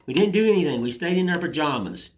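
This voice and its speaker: Older man, hoarse and raspy from a cold; folksy rambling host, slightly higher voice. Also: animated, fast talker, slight background noise. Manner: rambling, homespun, folksy filler phrases. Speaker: woodcarving channel host. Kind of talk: casual chat to camera.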